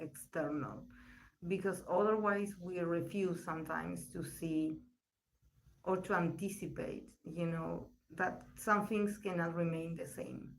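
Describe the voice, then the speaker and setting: A woman reading tarot on a live stream and answering chat questions. Her voice is still recovering, and she talks in a slow, searching way, with pauses and repeated words. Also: on the low side, poor audio. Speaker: tarot reader. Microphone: poor live-stream mic.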